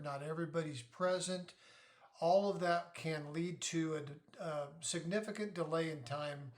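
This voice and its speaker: A middle-aged man giving an informal explainer as a parent sharing advice, deliberate and methodical in how he lays things out. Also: a little high. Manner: deliberate, methodical, poses questions then answers them.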